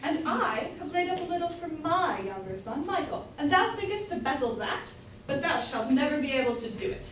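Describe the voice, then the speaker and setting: A woman's voice: sits high, sing-song, normal speed, fairly bright tone. A young woman acting a bitter, scolding mother in a staged reading of a Jacobean comedy, speaking emphatically. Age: young adult. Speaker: student actor. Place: indoor room, far from the mic. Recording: very echoey room, far off, faint noise.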